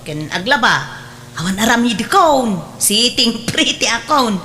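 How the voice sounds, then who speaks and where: Brief: cheeky young Ilocano maid, high bright voice, animated audio drama delivery. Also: female voice, a little slow, a little hiss or hum, exaggerated voice. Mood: breezy. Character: cheerful, cheeky young housemaid woman. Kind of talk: radio drama acting.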